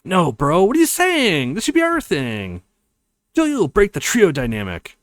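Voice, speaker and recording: A theatrical young college guy who sees himself as an anime hero, acted by a male let's player. He is boyish and excitable, with a fairly high, wildly swinging pitch. It is visual novel dialogue with a dry sound. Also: moderate pace, no echo. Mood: jealous, dramatic.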